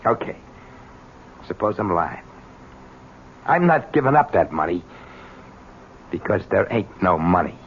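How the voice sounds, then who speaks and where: An actor playing a bitter, world-weary old ex-convict father with rough, wry street slang, in a 1970s radio drama. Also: deep voice, very animated, measured pace, pauses often, heavily acted. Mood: weary, defensive. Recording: dull, noisy old radio broadcast.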